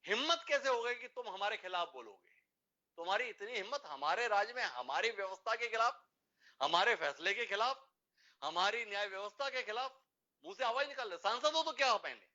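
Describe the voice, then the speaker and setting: A man's voice: sits high, animated, fairly quick, pushed and bright, highs cut off. A man hosting a formal debate show, speaking emphatically and posing rhetorical questions.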